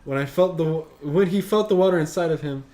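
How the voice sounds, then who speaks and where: A man's voice: on the high side, fast, mellow. A man reading a story aloud as a show host, breaking off for casual, sweary commentary.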